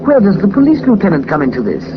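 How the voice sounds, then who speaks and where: An actor playing a dutiful, fussy manservant in a 1940s radio drama, formal and deferential with a plaintive manner. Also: male voice, high-pitched, wildly swooping pitch, fast talker. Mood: puzzled. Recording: muffled, noisy 1940s radio recording.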